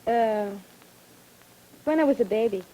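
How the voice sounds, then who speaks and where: A woman's voice: fairly high pitch, animated, a little slow, mellow, a little hiss or hum, low-quality recording. A teenage girl from Brazil, giving short, plain answers as a student panelist. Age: teens.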